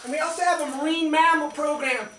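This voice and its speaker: A woman's voice: high-pitched, steady tone, fast talker, close to an everyday voice. A woman voicing an irreverent, breezy fish sock puppet in a comic show, with a flippant, folksy manner. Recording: echoey room, distant mic, noisy.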